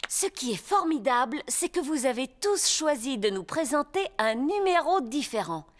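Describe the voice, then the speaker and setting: High, animated cartoon schoolteacher. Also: female voice, normal speed, loud music behind the voice. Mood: encouraging. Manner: encouraging, addressing small children. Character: encouraging adult female teacher in a preschool cartoon. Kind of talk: scripted cartoon dialogue. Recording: strong background noise.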